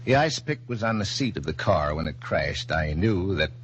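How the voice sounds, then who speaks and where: Somber blind police captain, low voice, measured, compassionate, dull old radio drama recording. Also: male voice, very animated, a little hiss or hum. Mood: somber, reflective. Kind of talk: 1940s radio drama acting.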